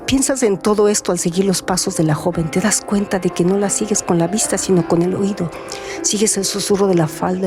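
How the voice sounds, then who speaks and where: A woman narrating a literary radio drama in a measured, atmospheric storytelling manner. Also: mid-range voice, warm tone. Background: music.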